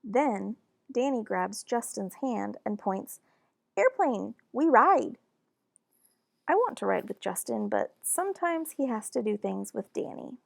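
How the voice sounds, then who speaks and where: A woman reading a children's storybook aloud in an expressive, deliberate and animated narration. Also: medium pitch, creaky, no echo, studio quality. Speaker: children's book reader.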